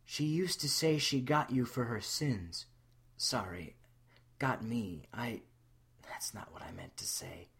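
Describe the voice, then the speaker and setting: A man voicing a troubled woman of about sixty in a story reading, speaking haltingly in broken fragments. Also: medium pitch, very animated, creaky, a bit bright, breathy edge, heavily acted. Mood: confused, apologetic. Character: troubled sixty-ish woman, haunted, halting, institutionalised slayer. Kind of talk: audio fan fiction reading.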